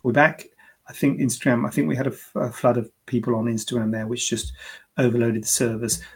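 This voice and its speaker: A gravelly-voiced man with a husky edge hosts a casual live-streamed Q&A as a plastic surgeon. He chats and jokes at his own expense, then explains medical advice in plain, everyday terms. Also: medium pitch, little pitch movement, a little fast. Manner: chatty, joking, self-deprecating asides.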